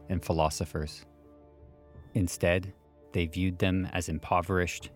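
A man reading scripted documentary narration in a measured, formal way. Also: low voice.

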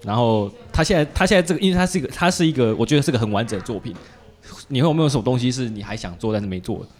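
A man's voice: mid-range voice, expressive, very fast, a little mellow. A man with a Taiwanese Mandarin accent hosts a casual podcast interview, feeling his way into questions in a hesitant, roundabout manner.

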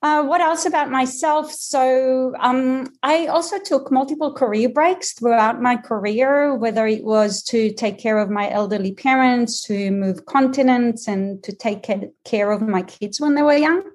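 A woman in her fifties with a mixed Israeli, Australian and American accent, explaining things in a measured way with frequent ums as a podcast guest. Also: on the high side, darkish tone. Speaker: career coach guest. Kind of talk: podcast interview.

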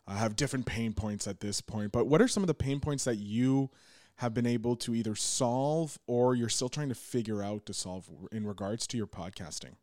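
A man's voice: mid-range voice, normal speed, darkish tone, no room sound. Upbeat man host, dry close sound. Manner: upbeat, affirming, chatty. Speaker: interview host. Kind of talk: casual interview.

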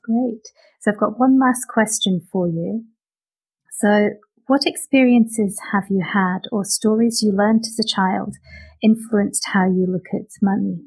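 A woman's voice: medium pitch, steady tone, unhurried, darkish tone, slightly rough, poor audio. A woman hosting an interview, reflecting on the guest's points and thinking aloud with restarts. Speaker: podcast host. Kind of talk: conversational interview.

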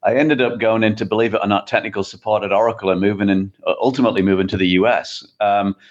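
A middle-aged man with an English accent, answering conversationally and haltingly as an interview guest. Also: on the low side, fairly even intonation. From England. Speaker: podcast guest, tech chief executive. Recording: dull, muffled lo-fi connection.

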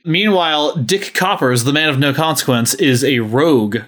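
A man's voice: fairly high pitch, normal speed, fairly bright tone. Casual male podcast host. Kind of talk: casual podcast chat and announcements.

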